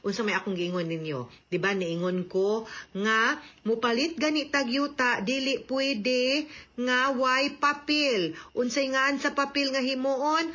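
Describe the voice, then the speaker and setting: A woman's voice: sits high, fairly even intonation, a little slow, pushed and bright, highs cut off. A woman with a Cebuano accent giving legal advice to radio listeners in an emphatic, instructive, conversational way. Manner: emphatic, instructive, checking with tag questions.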